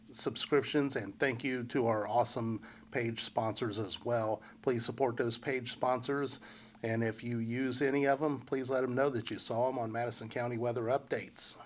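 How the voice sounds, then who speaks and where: Guy with a head cold talking through weather models, stuffy and laid back, lots of uhs, bit of hiss behind. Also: mid-range voice. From central Indiana. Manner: relaxed, many uh fillers and restarts. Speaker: local weather forecaster. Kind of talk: casual forecast walkthrough.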